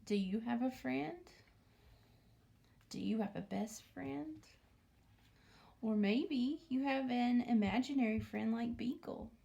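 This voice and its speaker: A woman teacher reading a picture book aloud to young children, commenting and asking questions, often dropping into a hushed near-whisper. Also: a little high, takes it slowly, gravelly, pauses often, lo-fi sound. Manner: asks the listening children questions.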